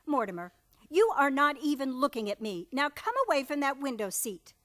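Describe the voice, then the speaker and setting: A woman plays an insistent young fiancée in a radio play. Her voice is high and highly expressive, coaxing with an exasperated edge. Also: moderate pace.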